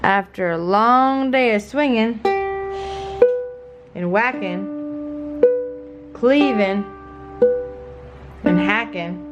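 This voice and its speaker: A woman reading a picture book aloud to a child in a playful, expressive way, with encouraging asides. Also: medium pitch, drawn-out words, pauses often, lo-fi sound. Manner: playful, expressive delivery of story words. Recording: ukulele plucking loudly behind the voice. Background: loud ukulele music.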